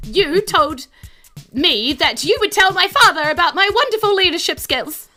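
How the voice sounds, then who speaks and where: Lady doing a whiny pompous pirate captain guy for a tabletop game, high n loud, blustery. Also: lively intonation, moderate pace, soft background music, heavily acted. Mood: flustered bossy. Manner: blustering, hesitant, stomping demands.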